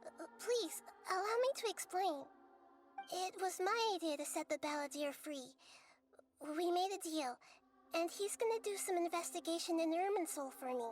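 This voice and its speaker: Game child deity: tiny high voice, gentle, wise, composed, soft music underneath. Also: female voice, expressive. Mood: calm, explanatory. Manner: measured, polite, composed. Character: gentle, wise little girl deity, calm and polite. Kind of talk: English video game voice acting.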